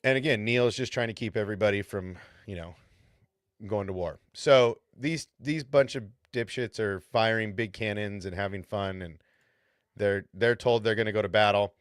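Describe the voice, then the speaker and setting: A man with a slight lisp talks through his thoughts in a relaxed, meandering way. His voice sits low and the sound is dry and close, with no room around it. He keeps asking "right?" to pull his listener along. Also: moderate pace, clear, resonant tone, no room sound. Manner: rambling, asks "right?" often. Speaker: podcast host. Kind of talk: casual chat.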